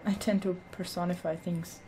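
A woman hosting a casual let's play, commenting in a hesitant, low-key way. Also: level tone, normal speed, dark tone, a little hiss or hum, poor audio. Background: video game ambience.

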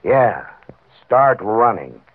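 Mocking big-shot gambler, lively delivery. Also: male voice, lowish voice, extremely slow, exaggerated voice. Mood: tense, menacing. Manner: taunting, offhand, commanding. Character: mocking, bossy big-shot gambler running the card game. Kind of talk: old-time radio crime drama acting. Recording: vintage radio, narrow phone-like band.

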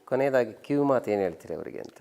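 Male interview host, Karnataka accent, average pitch, conversational style of questioning. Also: lively intonation, fast talker, creaky, mellow, smooth. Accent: Indian, Karnataka.

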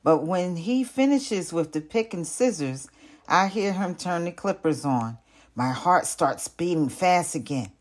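An older woman with a low-pitched voice reads a picture book aloud for children. Her delivery is expressive and deliberate, in the style of a teacher telling a story.

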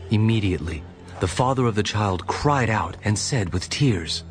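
A man narrating a dramatized audio Bible as a solemn, measured storyteller. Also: on the low side, lively intonation, close to an everyday voice. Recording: music bed behind narration.

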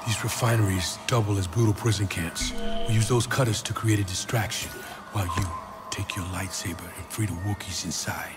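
An actor playing a middle-aged rebel leader in a video game, terse, fierce and authoritative. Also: male voice, lowish voice, fairly even intonation, brisk, music in the background, close to an everyday voice. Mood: businesslike, urgent. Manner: terse, authoritative, declamatory when rallying. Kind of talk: cinematic video game acting.

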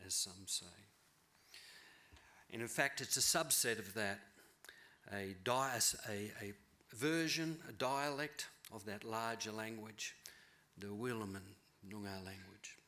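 Middle-aged man, bright podium lecture voice. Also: on the low side. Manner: thoughtful, measured, rephrasing as he speaks. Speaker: keynote speaker, novelist. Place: large auditorium stage. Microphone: podium mic.

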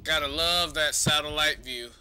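A man's voice: fairly high pitch, normal speed, sharp tone, a little hiss or hum. A young man with a congested voice giving loose, rambling casual commentary over a video game, swearing now and then. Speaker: gaming commentator.

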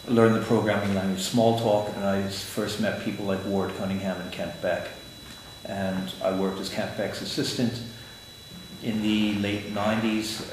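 An older man with a lowish voice explains his ideas calmly and deliberately, with the odd dry joke. The sound is very roomy, picked up by a camera a few feet away in an office, with a faint hum of ambience behind him. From Switzerland, raised in the United States. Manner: measured, explanatory, dry humor. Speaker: interview guest, agile consultant. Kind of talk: conference interview. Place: office room, indoors. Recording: echoey room with faint ambience.

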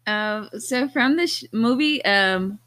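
A woman's voice: on the high side, animated, slow pace, a bit bright, no echo. A woman co-hosting a movie podcast, chatting casually and giving her opinions on a film. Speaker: podcast co-host.

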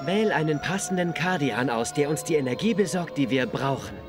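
A solemn young alien villain, acted by a man for an anime drama. His high male voice is grave and theatrical over clear music. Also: animated, brisk, lightly acted. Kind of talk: German-dubbed anime radio play.

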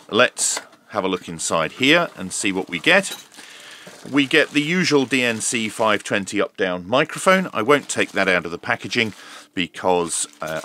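A man's voice: sits low, bright, forceful tone. A man casually narrating a hands-on product unboxing and review in an informal, running commentary. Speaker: host of a radio review channel.

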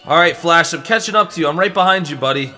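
A man plays an eager young superhero in a game roleplay. His voice is bright and a little high, with music underneath. He chats excitably, like a friendly buddy on an adventure. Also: quick pace. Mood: wary. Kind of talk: improvised Minecraft roleplay, casual chatter.

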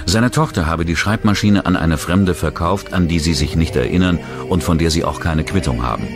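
A man narrating a true-crime documentary in a measured, scripted delivery. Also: low voice. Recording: narration over loud music.

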